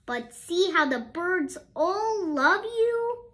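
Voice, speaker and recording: A woman voicing a kind, encouraging young schoolboy in a storybook, gently reassuring his best friend. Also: extremely high pitch, sing-song, slow, voice pushed up, heavily acted.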